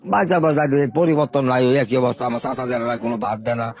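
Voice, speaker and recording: Fast-talking older man. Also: medium pitch, darkish tone. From Bangladesh, Sherpur. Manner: unhurried rustic storytelling, repeating words. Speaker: interview guest. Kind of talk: telling his life story in an interview.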